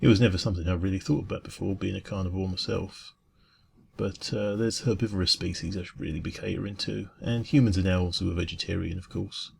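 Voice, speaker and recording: A low, creaky-voiced dragon baker played by a man in a soft ASMR roleplay. He is kind and chatty, rambling with gentle, earnest enthusiasm and small hesitations as he talks to a customer. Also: steady tone, fast talker, slight background noise. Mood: thoughtful, relaxed. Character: friendly, food-loving dragon café baker, kind and chatty.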